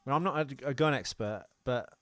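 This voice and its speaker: Guy on a film podcast, rambly and wry, normal pitch, dry recording. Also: a little fast, no room sound, faint music behind. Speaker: podcast co-host. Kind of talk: casual film discussion.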